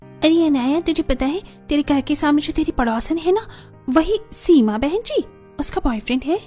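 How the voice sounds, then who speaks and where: A woman's voice: sits high, lively intonation, moderate pace, warm tone, faint music behind. A woman voicing chatty young women in a cartoon family story. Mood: gossipy.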